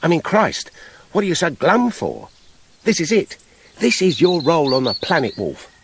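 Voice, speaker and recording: An actor playing an exasperated, bossy woodcutter in a radio play, hectoring and indignant. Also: male voice, fairly high pitch, huge pitch swings, moderate pace, noisy background. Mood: persuasive. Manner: argumentative, indignant, emphatic.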